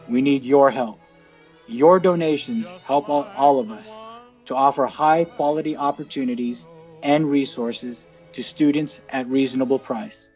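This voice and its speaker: A man reading a scripted introduction to a program in a measured, articulate presenter's delivery. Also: medium pitch, darkish tone, highs cut off. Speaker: team representative introducing the show.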